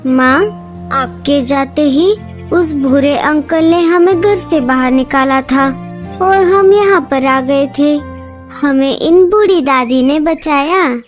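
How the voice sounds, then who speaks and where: A woman's voice: sits high, slow, faint music behind. A woman voicing small baby birds in a cartoon, calling out eagerly like young children.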